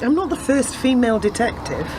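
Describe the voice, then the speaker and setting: A woman playing a wry, measured newly made detective in a radio drama. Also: on the high side, very animated, noisy background. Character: newly promoted female detective, wry adult daughter. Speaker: actor in a community radio play.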